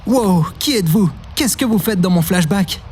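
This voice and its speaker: A man's voice: sits high, expressive, normal speed, darkish tone, a little hiss or hum. An actor playing a listless, confused young man in an audio drama, hesitant and mumbling. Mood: bewildered. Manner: short mumbled replies, hesitant. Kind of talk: French audio drama acting.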